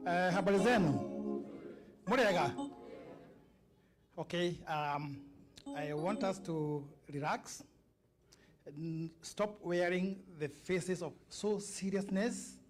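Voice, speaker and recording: A mature man with a Kenyan accent addresses his community in a slightly high voice. He speaks informally and haltingly, often checking in with listeners through short questions. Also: soft background music.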